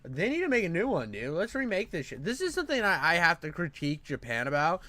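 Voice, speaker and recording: A man's voice: fairly high pitch, huge pitch swings, fast, bright, forceful tone. A man chatting off the cuff to viewers in slangy, sweary, laid-back bro talk. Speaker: commentator. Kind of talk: unscripted casual chat to viewers.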